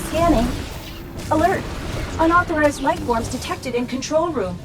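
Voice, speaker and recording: A woman's voice: sits high, moderate pace, close to an everyday voice. A woman voicing a cold, procedural security computer, making clipped, formal automated announcements in a video game. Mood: impersonal alert. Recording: loud music behind. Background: game music.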